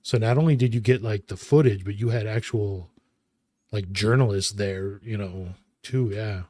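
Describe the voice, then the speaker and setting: A man in his thirties co-hosting a casual chat, recalling memories in a halting way and often correcting himself. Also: lowish voice, dark tone, dry recording, clean studio sound. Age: 30s. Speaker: podcast co-host.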